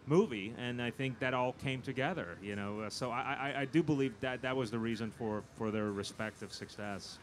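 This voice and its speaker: Male panel guest, producer type. Medium pitch, conversational, emphatic, repeats himself for weight. Faint live-event background noise. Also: moderate pace, few pauses. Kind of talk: conversational panel interview answers.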